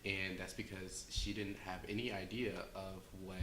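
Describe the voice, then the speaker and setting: Deep-voiced young man in an echoey room. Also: little pitch movement, moderate pace, sharp tone, a little hiss or hum. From Chicago, USA. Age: young adult. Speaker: student interviewee. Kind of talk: interview answers.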